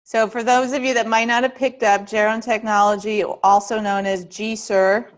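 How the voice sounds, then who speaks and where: A woman leading introductions at a student research orientation, friendly and quick with questions, speaking into a single room microphone. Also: fairly high pitch, level tone. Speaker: program organizer leading orientation. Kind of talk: casual hosting of introductions. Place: lecture room with a remote caller. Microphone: single room microphone, carried.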